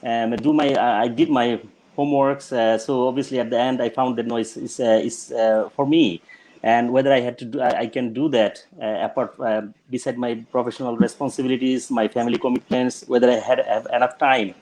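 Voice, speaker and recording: A man from Bangladesh, a general practitioner talking as a guest on an online panel, pausing often with ums and uhs. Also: mid-range voice, steady tone, darkish tone, low-quality recording. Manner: hesitant, many ums and uhs, restarts sentences. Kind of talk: casual panel chat.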